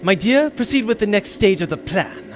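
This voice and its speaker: An actor playing a pompous, gentlemanly villain bounty hunter with florid, formal diction in a comedic sci-fi audio drama. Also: male voice, sits high, lively intonation, normal speed. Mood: smug.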